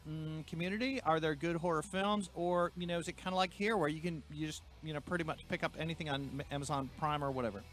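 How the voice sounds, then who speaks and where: A man's voice: fairly high pitch, quick pace, hardly any pauses, fairly bright tone, faint music behind. A man co-hosting an interview show, enthusiastic and rambling, restarting sentences and starting with "look". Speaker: co-host. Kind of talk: casual interview chat.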